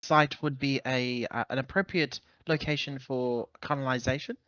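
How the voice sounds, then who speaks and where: Bright-voiced man, halting tabletop player. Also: mid-range voice, steady tone, no room sound. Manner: halting, deliberate, wordy phrasing. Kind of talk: casual in-character questioning in a roleplaying game. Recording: dull, dry voice-chat microphone.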